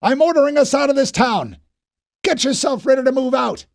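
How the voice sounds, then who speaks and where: A man voicing a furious, barking male army captain who shouts his orders. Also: very high voice, expressive, a little fast, vocal fry, voice pushed up, exaggerated voice. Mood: yelling.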